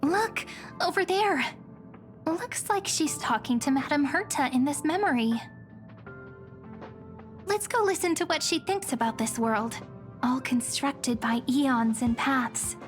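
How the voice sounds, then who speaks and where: High, sweet young girl guide. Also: expressive, unhurried, long pauses, soft background music. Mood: friendly, eager. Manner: gentle, chatty, polite. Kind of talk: video game character acting.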